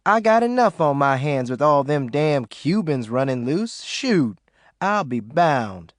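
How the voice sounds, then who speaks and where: A man voicing a gruff, bossy lawman, scolding bluntly. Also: a little high, very animated, unhurried, no echo, clean studio sound.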